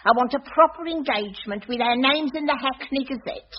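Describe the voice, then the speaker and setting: A woman's voice: high-pitched, brisk, natural voice. An actress playing an amorous, hot-tempered cleaning woman in a radio sitcom, coy and gushing. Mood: doting. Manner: coy and gushing, then scolding. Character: amorous middle-aged charwoman, fiery temper. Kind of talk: 1980s radio sitcom acting. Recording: muffled noisy old radio recording.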